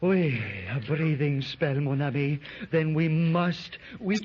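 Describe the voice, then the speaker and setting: Flustered french companion, french accent, high slow voice, dull old radio sound. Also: male voice. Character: French man, Darno, excitable companion. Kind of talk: 1930s radio drama acting. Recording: dull lo-fi 1930s radio recording.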